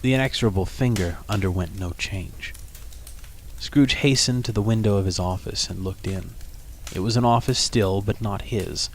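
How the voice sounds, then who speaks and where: A man with a fairly low voice narrates a classic tale in audiobook style. His delivery is deliberate, solemn and expressive. Some noise can be heard behind him. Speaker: storyteller, narrator.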